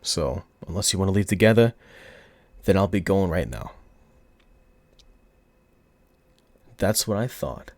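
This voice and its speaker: A man playing a cocky, flirty, smug mafia boss in an audio roleplay, with a put-on gangster accent and a teasing, drawn-out seductive delivery. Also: sits low, no room sound. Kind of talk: ASMR audio roleplay acting.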